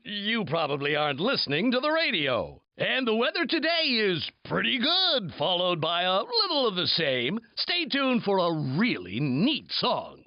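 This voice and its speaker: An actor playing a peppy, goofy cartoon radio DJ with an exaggerated announcer delivery. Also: male voice, high voice, wildly swooping pitch, measured pace, few pauses, dry recording, highs cut off, exaggerated voice. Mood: upbeat, breezy.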